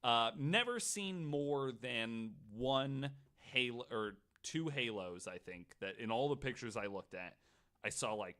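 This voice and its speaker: A man's voice: medium pitch, sharp tone. A man hosting a casual podcast, telling a story with plenty of swearing and "like" thrown in. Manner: swears freely; peppers talk with "like" and "right". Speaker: podcast host.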